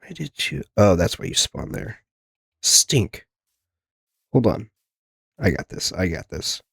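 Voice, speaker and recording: A man with a lowish voice hosts a casual gaming video. His nose sounds plugged from a lingering cold. He rambles along, talking half to himself and half to the game, in a very dry recording. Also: sing-song, slow, gravelly, a bit bright, husky, no room sound. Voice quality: congested, stuffy-nosed. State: getting over a sickness, plugged nose.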